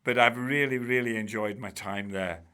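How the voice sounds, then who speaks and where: Older man, bright tense tone, mid pitch, rambling anecdotes, loose conversational talk. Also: steady tone, normal speed, smooth. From Bradford, England. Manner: chatty, warm-humoured, many restarts and "you know"s. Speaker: guest, charity trustee and former teacher. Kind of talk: relaxed storytelling in an interview.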